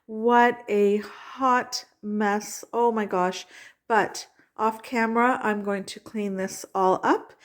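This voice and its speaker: A woman's voice: medium pitch, roomy sound. A woman with a stuffed-up nose from a cold, chatting enthusiastically as she narrates her home decorating. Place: home kitchen.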